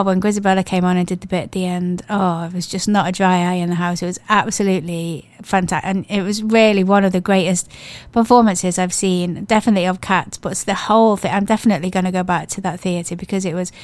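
A woman with an English accent presenting a radio show, her voice croaky and hoarse from a cold, chatting in a gushing, rambling way. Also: lowish voice, fairly even intonation, quick pace, hardly any pauses, a little mellow, no echo. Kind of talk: casual radio chat.

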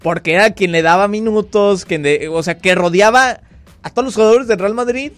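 A Mexican man with a Mexican Spanish accent co-hosting a casual football chat, opinionated and quick with short interjections. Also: high voice, a bit bright, no echo, faint music behind. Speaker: sports talk co-host.

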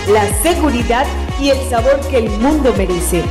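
A woman reads a polished commercial with a fairly high voice. The sound is roomy, with a long reverb tail. Clear music plays under her. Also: animated, normal speed. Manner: polished, promotional. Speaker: commercial announcer. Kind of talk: scripted commercial read.

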